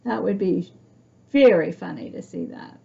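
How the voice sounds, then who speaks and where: A woman reads a storybook to small children at an unhurried pace. Her pitch swings in an animated way, with some creak in the voice, and she chats to her young listeners as she goes. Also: muffled recording. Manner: expressive, asks young listeners questions. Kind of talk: read-aloud picture book with chatty asides.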